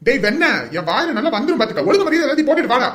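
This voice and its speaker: A woman's voice: mid-range voice, very fast, lightly acted. A woman playing a bossy, hot-tempered male elder in a village family drama, blunt and commanding. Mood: angry scolding. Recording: roomy, echoing sound.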